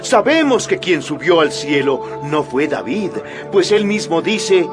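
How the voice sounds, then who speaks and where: An actor playing a fervent apostle who preaches boldly to a crowd in a dramatized audio Bible, declaiming emphatically. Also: male voice, fairly high pitch, very animated, unhurried. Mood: earnest, firm. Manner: declamatory, emphatic public address. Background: background music.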